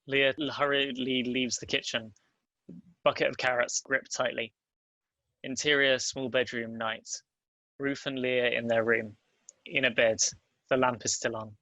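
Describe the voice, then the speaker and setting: Bright-voiced man narrating stage directions. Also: mid-range voice, level tone, unhurried, long pauses, dry recording, highs cut off. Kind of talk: scripted narration at a table read.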